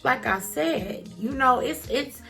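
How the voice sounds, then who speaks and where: A woman's voice: high-pitched, lively intonation, slow, creaky, faint music behind. A woman talking casually to camera as a vlogger, chatty and encouraging, with a stuffy nose.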